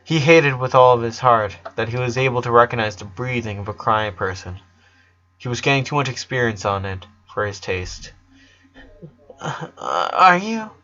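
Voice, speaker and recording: A man's voice: medium pitch, moderate pace, fairly bright tone. Man narrating a story, slightly muffled.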